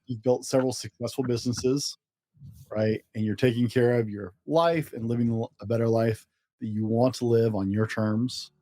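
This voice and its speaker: A man's voice: mid-range voice, fairly even intonation, moderate pace, mellow, no echo. A man hosting an interview, encouraging his guest and summing up points for his listeners. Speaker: podcast host.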